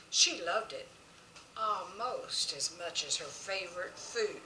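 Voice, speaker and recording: Female storyteller, very bright sharp voice, expressive folksy read, roomy distant mic. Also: mid-range voice, a little hiss or hum. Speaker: children's author reading own story.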